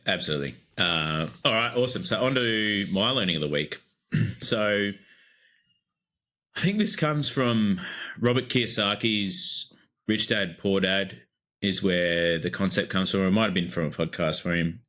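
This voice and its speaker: An Australian man with a low-pitched voice co-hosts a podcast in easygoing chat. His tone is bright and forward, and he rambles a bit with lots of fillers as he talks. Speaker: podcast co-host.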